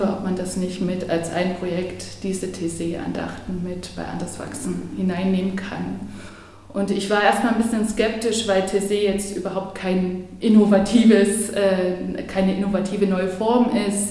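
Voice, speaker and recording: A woman from Germany talks through her experience of church life, thinking aloud and pausing on fillers. Her voice is mellow and soft at the edges. It rings out in a strongly echoing room. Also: medium pitch, flat delivery, a little hiss or hum. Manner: thoughtful, hesitant with fillers. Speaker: interviewee, church member. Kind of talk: interview answer. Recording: very echoey room.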